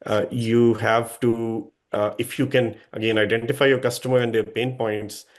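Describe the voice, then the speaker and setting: A man's voice: medium pitch, little pitch movement, normal speed. A man giving a talk to business owners as a guest speaker, offering earnest, direct advice and often correcting himself mid-sentence.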